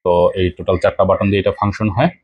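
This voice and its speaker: Bangladeshi guy doing a casual bike vlog review, lowish voice, dry close sound. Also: racing, dry recording. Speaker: motorcycle vlogger.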